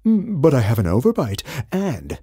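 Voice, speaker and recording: A woman voicing a meek, bookish male monster in a storybook, with a breathy voice and a polite, hesitant manner. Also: huge pitch swings, unhurried, dark tone, no room sound, studio quality, heavily acted. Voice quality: low, breathy.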